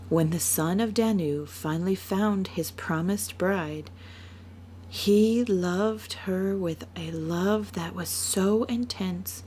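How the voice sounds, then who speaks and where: A woman narrating a written story in a deliberate storytelling manner, as an author reading her own work. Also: fairly low pitch, a little mellow, a little hiss or hum.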